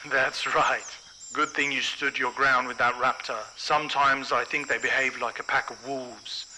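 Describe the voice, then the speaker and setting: A man voices a reassuring colleague. His tone is bright and pressing, but his delivery stays calm and measured. Faint insect noise sits under the recording. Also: medium pitch, poor audio. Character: steady male colleague, supportive and reassuring.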